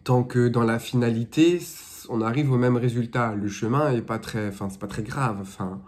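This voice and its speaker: A man's voice: mid-range voice, moderate pace, a little mellow. A man in his thirties with a cold talks in a loose, rambling way, stacking fillers and false starts. He is recorded in a small plain room, close to a phone or camera. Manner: rambling, full of fillers and restarts. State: has a cold. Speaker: spiritual guidance vlogger. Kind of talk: casual chat, then reading aloud from a dream dictionary. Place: small indoor room with bare walls.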